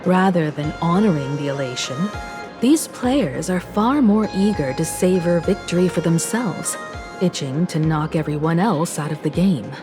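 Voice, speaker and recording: An actress playing a composed, caring and analytical young woman in a video game, speaking in a measured, earnest, articulate way. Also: fairly low pitch, lightly acted. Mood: serious, explanatory. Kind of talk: video game voice acting, expository dialogue. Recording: studio voice over game music.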